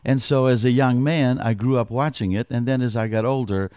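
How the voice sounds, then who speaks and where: A man's voice: mid-range voice, steady tone, brisk, darkish tone, clear, resonant tone, no echo. A middle-aged man from Greece, a wrestling commissioner, talking as a guest in a radio studio interview, earnest and prone to restarting his sentences.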